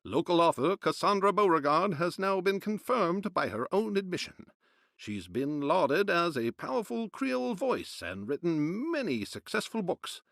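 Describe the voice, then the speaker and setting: An actor playing a formal period newsreader, reading newspaper reports in a declamatory narrating style. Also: male voice, high voice, sing-song, normal speed, creaky, fairly bright tone, no echo, clean studio sound, heavily acted. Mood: formal, measured. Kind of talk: scripted news narration.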